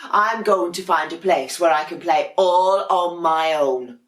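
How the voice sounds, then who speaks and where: A woman's voice: lowish voice, measured pace, reverberant, natural voice. A woman voicing a grumpy young male penguin in a children's storybook, protesting emphatically and indignantly. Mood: fed up.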